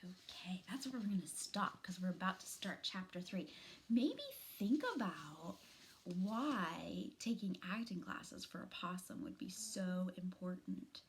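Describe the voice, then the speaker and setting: A middle-aged woman reading a story aloud to children in an expressive, deliberate manner. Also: on the low side, a little hiss or hum. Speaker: children's story reader, teacher. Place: small room at home, indoors.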